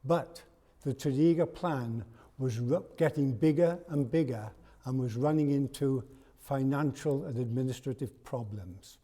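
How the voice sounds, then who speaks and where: Play an elderly Welsh man in his eighties, warm and mellow, chairing a public meeting and telling a story plainly. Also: medium pitch, unhurried. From Wales. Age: 80s. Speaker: hustings chair.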